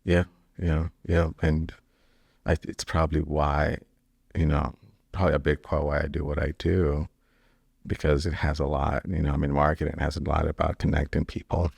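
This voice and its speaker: Very deep gravelly man from Kenya. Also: normal speed, no room sound. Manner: relaxed, rambling, many fillers and restarts. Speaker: business founder. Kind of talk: interview answers.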